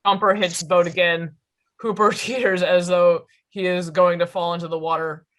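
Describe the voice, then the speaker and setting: A woman's voice: on the low side, level tone, normal speed, fairly bright tone. A woman reading stage directions as scripted narration at a table read.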